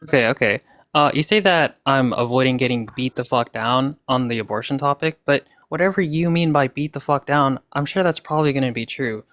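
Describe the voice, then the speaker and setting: A man's voice: medium pitch, brisk, fairly bright tone. A man in an online debate, mocking and casual, trash-talking with lots of fillers and slang.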